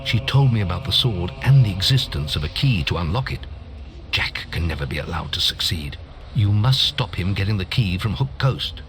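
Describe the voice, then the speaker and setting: An actor playing a grave older guild master in a fantasy video game, speaking formally and urgently. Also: male voice, low-pitched, animated, moderate pace, a bit bright, faint music behind. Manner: solemn, urgent, formal instruction. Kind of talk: scripted fantasy game acting.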